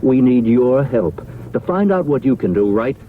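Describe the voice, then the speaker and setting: A man's voice: mid-range voice, expressive, moderate pace. Tinny vintage male radio announcer. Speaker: public service announcer. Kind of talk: 1970s radio announcement, scripted. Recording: tinny, faintly noisy vintage radio recording.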